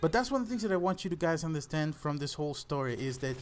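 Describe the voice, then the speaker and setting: Higher-voiced young Salvadoran-accented man, noisy recording. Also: animated, fast talker. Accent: Salvadoran Spanish. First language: Spanish. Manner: earnest, addresses listeners as "guys". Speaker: gaming channel host. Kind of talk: casual personal storytelling over gameplay commentary.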